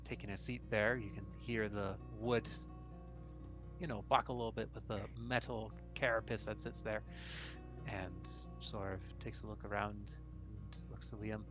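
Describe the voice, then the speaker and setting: A man's voice: on the low side, flat delivery, normal speed, music in the background. A man improvising descriptive narration in a tabletop role-playing game, feeling his way through the scene. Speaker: game player.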